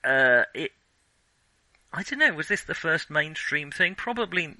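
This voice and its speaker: A male podcast co-host with a very bright, forward voice in a dry, close recording. He talks casually about films, feeling his way through sentences and revising them as he goes. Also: a little high, sing-song, a little slow, no echo. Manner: hesitant, self-correcting, searching for words. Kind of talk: casual film discussion.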